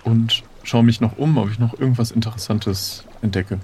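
A man's voice: mid-range voice, normal speed, warm tone, slight background noise. A man role-playing casually as a player in an improvised adventure, speaking in a hesitant, inquisitive way.

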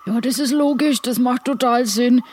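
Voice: mid-range voice, normal speed, darkish tone, a little hiss or hum, lightly acted. A person voicing an eager, naive, beer-drinking strongman sidekick with colloquial exclamations in an improvised role-play. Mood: excited. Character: Kalle, strong beer-drinking simple-hearted sidekick, uneasy but eager.

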